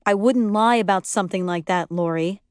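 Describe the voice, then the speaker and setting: A woman voicing a calm, reasonable young man backing up his friend. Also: mid-range voice, normal speed, dry recording, studio quality, lightly acted.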